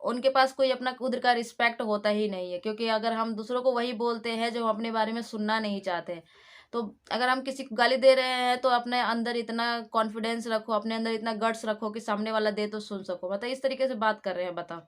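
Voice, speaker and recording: A woman's voice: a little high, steady tone, fairly quick, clean tone. A woman with an Indian accent giving casual reaction commentary to her viewers as the host of a channel.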